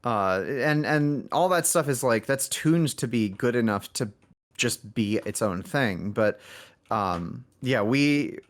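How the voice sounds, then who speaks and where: A man's voice: mid-range voice, expressive, slow pace. A man speaking as an indie game developer in a casual podcast conversation, rambling and correcting himself as he thinks aloud.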